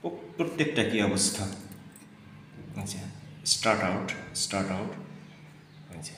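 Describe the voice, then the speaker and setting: Echoey low-voiced man presenting a product. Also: lively intonation, normal speed, a bit bright. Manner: instructional, repeats key points for emphasis. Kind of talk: product demonstration, explaining specifications. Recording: very echoey reverberant room, faint noise.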